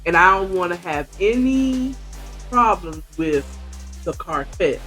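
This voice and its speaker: A woman's voice: fairly low pitch, lively intonation, takes it slowly, fairly bright tone, soft background music. A woman with an American accent voicing a deferential male street hustler from a novel, slangy, hesitant and placating. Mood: placating, confessing.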